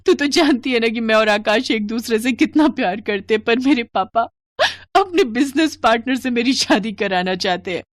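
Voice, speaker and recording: A woman playing an expressive young college girl in dramatic story dialogue. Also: a little high, normal speed, no room sound, clean studio sound, lightly acted. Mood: distressed. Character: young college girl, lovestruck, teasing, later frightened and pleading. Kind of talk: animated Hindi story dialogue.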